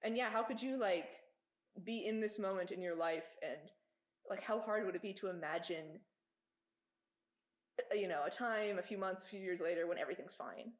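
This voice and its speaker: A woman's voice: medium pitch, moderate pace, pauses often. A woman talking casually and reflectively as a panelist in a group discussion. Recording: echoey room sound.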